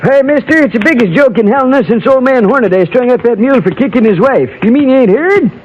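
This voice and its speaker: A gossipy frontier barber with a high voice that leaps up and down. He has a rustic Western American drawl and a folksy, colloquial way of spinning a tale. It sounds like a muffled old radio Western. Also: expressive, fast talker. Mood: gleeful gossip. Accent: rustic American West. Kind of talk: old-time Western radio drama acting.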